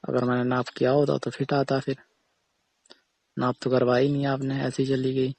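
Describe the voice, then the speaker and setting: A man's voice: mid-range voice, monotone, normal speed, darkish tone, no room sound, natural voice. A man playing a defensive tailor who keeps explaining himself insistently to a customer in a casual phone conversation. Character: defensive male tailor arguing patiently with a customer.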